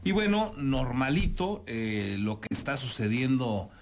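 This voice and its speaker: A man's voice: medium pitch, sing-song, measured pace. A man with a Mexican accent anchoring the news in a conversational, commenting way. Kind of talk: news presenting with informal commentary.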